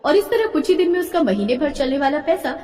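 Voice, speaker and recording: A woman's voice: high-pitched, sing-song, fast talker, warm tone. A woman reading scripted story narration as the narrator. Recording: echoey hall-like reverb.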